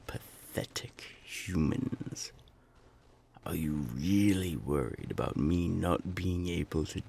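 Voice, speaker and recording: A man playing a menacing, sly naga gang boss in an audio roleplay, with a gravelly, husky voice and a taunting, contemptuous manner. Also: deep voice, slight background noise, heavily acted. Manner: slow, taunting, deliberate.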